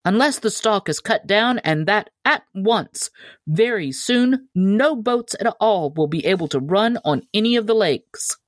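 A woman's voice: mid-range voice, expressive, measured pace, dry recording, clean studio sound, pitched above the performer's own voice, close to an everyday voice. A woman voicing a self-important, officious male naval officer in a storybook reading. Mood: urgent, concerned. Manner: pompous, officious.

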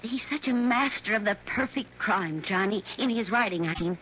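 Actress as anxious wife on muffled old radio. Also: female voice, medium pitch, normal speed, natural voice. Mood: anxious, confiding. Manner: hesitant, pleading, breathless dramatic emphasis. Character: frightened, anxious wife who suspects her husband. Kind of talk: 1960s radio drama acting. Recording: vintage radio recording, muffled, light noise.

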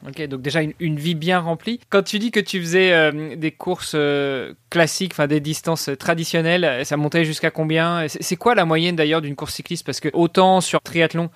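Chatty French podcast host, higher voice. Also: male voice, steady tone, moderate pace, few pauses, a bit bright, no echo, studio quality. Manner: chatty, many fillers and restarts. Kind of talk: casual podcast interview.

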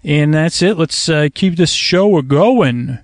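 A man with a creaky voice hosting a sleep podcast, meandering and halting through a rambling bedtime monologue. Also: a little high, animated, no room sound, clean studio sound. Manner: meandering, halting, trailing off into tangents.

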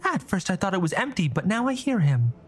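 A man voicing a bold, mischievous orphan girl who talks quickly and carelessly. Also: high-pitched, very animated, husky, voice pushed up, full character voice. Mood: cheeky. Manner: quick, cheeky, carefree.

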